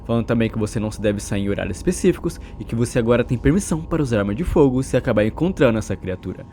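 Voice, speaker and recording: Fast, lively Brazilian male narrator. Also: mid-range voice. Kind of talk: horror story recap narration.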